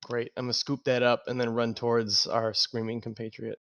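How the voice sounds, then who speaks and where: A man talks casually as a player in a tabletop roleplaying game, stating what he will do. His voice sits at a middling pitch and the sound is very dry, with no room to it. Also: little pitch movement, fairly quick, fairly bright tone, no echo. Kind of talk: casual in-game play talk.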